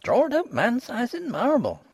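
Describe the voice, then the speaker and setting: A man reading an audiobook, voicing a placid, superstitious old peasant housekeeper in rustic dialect, with a husky voice. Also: very high-pitched, wildly swooping pitch, measured pace, a little mellow, dry recording, clean studio sound, voice pushed up, full character voice. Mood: ominous. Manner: rustic dialect grammar, grave and ominous. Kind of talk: audiobook character voice.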